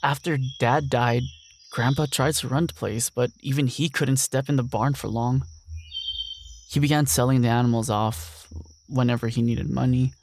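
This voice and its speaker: A man's voice: medium pitch, fairly even intonation, moderate pace, a little mellow, a little hiss or hum, lightly acted. A young man playing an earnest, lonely ranch heir, speaking a weary, reflective monologue in an audio drama. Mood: lost, weary.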